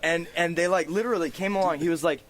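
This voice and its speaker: A man's voice: a little high, quick pace, over a noisy crowd. A man hosting a podcast in casual, informal chat, telling stories with some swearing, recorded outdoors on a porch. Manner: informal, profane, anecdotal. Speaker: podcast host. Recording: outdoor ambient noise on a porch.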